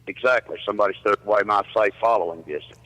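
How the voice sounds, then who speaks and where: Low, bright-toned Southern trucker on the phone. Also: male voice, fast talker, poor audio. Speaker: guest truck driver. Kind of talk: casual chat over phone. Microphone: phone call.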